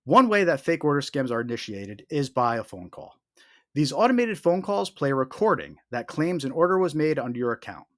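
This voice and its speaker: Animated man narrating an explainer, dry sound. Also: a little high, brisk, no room sound. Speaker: host of a scam awareness video.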